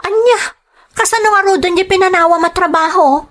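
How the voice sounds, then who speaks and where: A woman's voice: very high voice, a little fast, fairly bright tone, pitched above the performer's own voice. A woman voicing a nagging, pleading young wife in a poor household, in an audio drama. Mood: exasperated, questioning. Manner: nagging, questioning. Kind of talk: serial audio drama acting.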